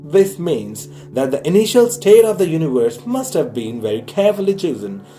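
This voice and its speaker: A man reading a science audiobook aloud in a measured, explanatory way. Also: fairly high pitch, big pitch swings, mellow, faint music behind. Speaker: audiobook reader. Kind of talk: scripted narration, reading a science book aloud.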